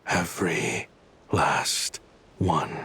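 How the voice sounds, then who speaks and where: Slow, menacing avenger. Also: male voice, sits low, sharp tone, slightly rough, heavily acted. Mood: cold, taunting. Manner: menacing, measured, sardonic. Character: grim vengeful man, cold and resolute. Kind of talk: video game voice acting.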